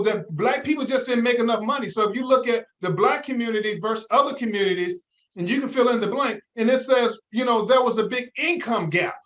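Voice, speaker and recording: A man's voice: very high voice, a bit bright, rough recording. A middle-aged man, a financial advisor guest in an interview, talking in an emphatic, preacher-like way with many rhetorical questions. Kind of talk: interview, motivational talk.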